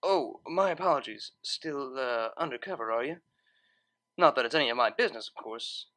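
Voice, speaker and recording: Lady doing a sly stallion waiter guy, polite, knowing, pitch moves around a lot, pony story. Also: expressive, normal speed, fairly bright tone. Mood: apologetic, discreet. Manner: smooth-talking, knowing, discreet.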